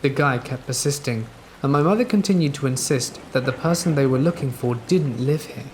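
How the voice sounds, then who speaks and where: A man's voice: medium pitch, a little fast, darkish tone, a little hiss or hum. Male narrator reading true stories. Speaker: horror story narrator. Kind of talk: scripted narration of listener stories.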